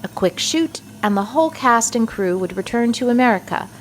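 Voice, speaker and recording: A woman's voice: a little high, animated, moderate pace, a little hiss or hum, natural voice, poor audio. Woman as a firm, businesslike spy chief. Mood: briefing, firm. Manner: matter-of-fact, authoritative. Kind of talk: scripted audio comedy acting.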